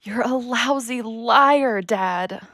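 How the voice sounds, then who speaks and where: A woman's voice: on the high side, extremely slow, fairly bright tone, no room sound, clean studio sound, heavily acted. A young woman playing a world-weary, easily exasperated daughter in an audio play, blunt and sardonic. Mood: hurt, upset. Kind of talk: naturalistic audio play acting.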